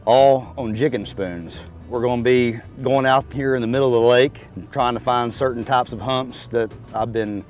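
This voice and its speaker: A man's voice: mid-range voice, fairly even intonation, normal speed, few pauses. A man casually explaining his plans as an angler. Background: plucked guitar music.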